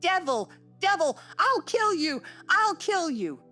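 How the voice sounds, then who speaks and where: A woman's voice: fairly low pitch, sing-song, measured pace, soft background music. A woman voicing a sickly, petulant young man who pleads weakly and shrieks in frantic rages. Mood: shrieking fury. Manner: weak and pleading, then shrieking.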